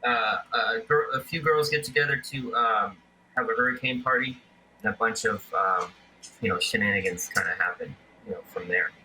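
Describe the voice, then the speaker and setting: Guy talking about making his movie, lots of ums, really bright tense voice. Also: lowish voice, moderate pace, a little hiss or hum, poor audio. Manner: rambling, many fillers and restarts. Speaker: independent filmmaker, guest. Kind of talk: casual interview chat.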